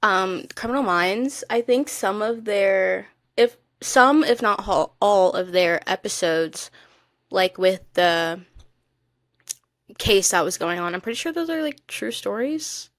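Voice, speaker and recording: Woman podcast co-host, mid-pitched, closely dry sound, chatty and excitable, casual fan conversation. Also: slow, no room sound. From Florida, United States. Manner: chatty, excitable, addresses listeners as "guys". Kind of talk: casual chat about TV shows.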